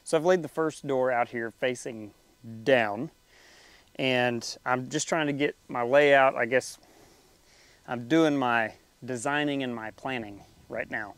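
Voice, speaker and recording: A young man hosting a DIY farm video, thinking aloud in a plain, unhurried way while he works outdoors in a farmyard, picked up from a distance by the camera. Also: mid-range voice, clear, resonant tone, no echo. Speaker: DIY farm channel host. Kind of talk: casual building tutorial narration.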